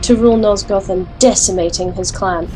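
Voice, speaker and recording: A woman's voice: medium pitch, moderate pace, music in the background, natural voice, low-quality recording. A woman playing a defiant, sardonic alchemist, wry and assertive, in an audio drama with narration. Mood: wry, resolute. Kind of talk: first-person narration and audio drama acting.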